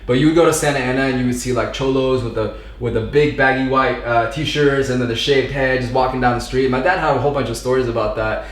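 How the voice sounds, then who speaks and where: A man in his thirties from Southern California, a podcast guest talking casually in a relaxed, rambling way with plenty of fillers and slang. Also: mid-range voice, fast talker, few pauses. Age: 30s. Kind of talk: casual conversation. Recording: echoey room.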